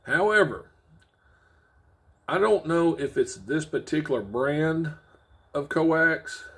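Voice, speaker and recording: Halting man giving a casual vlog update. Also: on the high side, animated, breathy edge. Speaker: host of a CB radio and trucking video channel.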